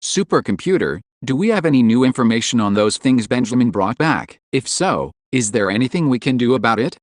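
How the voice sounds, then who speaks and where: A man playing a formal research official in a scripted audio drama, speaking in a measured, businesslike manner. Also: medium pitch, lively intonation, no echo, clean studio sound, close to an everyday voice.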